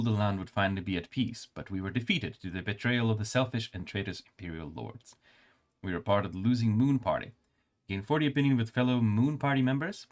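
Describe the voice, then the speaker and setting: A male streamer with a calm, soothing voice, reading game lore aloud and adding casual remarks. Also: on the low side.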